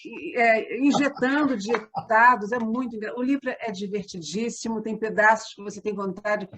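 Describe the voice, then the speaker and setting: Slightly high-voiced Brazilian woman, chatting casually. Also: fairly even intonation, moderate pace, a bit bright, a little hiss or hum. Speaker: interview guest. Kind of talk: casual chat.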